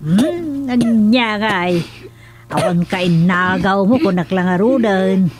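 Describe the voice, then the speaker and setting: A woman's voice: mid-range voice, lively intonation, slow. A woman playing a nagging, scolding village woman in a comedic radio drama.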